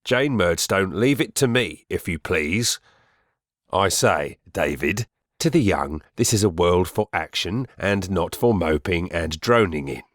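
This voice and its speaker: A male actor plays a cold, severe stepfather in an audiobook drama. His voice is low and very dry, and he speaks with grave, pompous deliberation, as one used to being obeyed. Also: animated, unhurried, no room sound. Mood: stern lecturing. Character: stern, cold middle-aged stepfather, severe and domineering.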